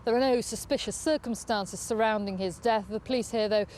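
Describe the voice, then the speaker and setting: A woman news correspondent giving a measured live report outdoors, pausing now and then as she improvises. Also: fairly high pitch, darkish tone. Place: outdoors on a residential street. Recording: outdoor street ambience with traffic noise.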